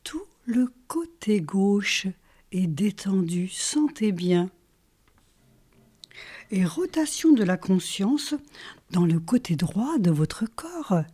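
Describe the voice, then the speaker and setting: A woman leading a guided yoga nidra relaxation as a teacher, calm and soothing, in short phrases with pauses. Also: mid-range voice, breathy edge. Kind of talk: guided relaxation meditation, instructional.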